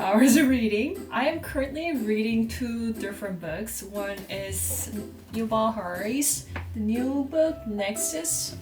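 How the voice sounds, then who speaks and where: A Korean woman with a Korean accent chats in English, thinking aloud in a relaxed vlog manner. Her voice sits a little high and sounds roomy and set back from the mic. Music plays clearly behind her. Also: distant mic. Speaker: vlogger. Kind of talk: casual vlog talk.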